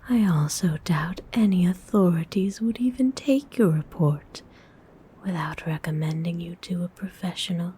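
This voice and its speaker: A woman voicing a predatory, hungry female vampire in a breathy whisper, a seductive and politely menacing ASMR roleplay monologue. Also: fairly low pitch, warm tone, a little hiss or hum, close to an everyday voice. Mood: dry taunting. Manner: slow, drawn-out, teasing menace. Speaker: ASMR voice performer.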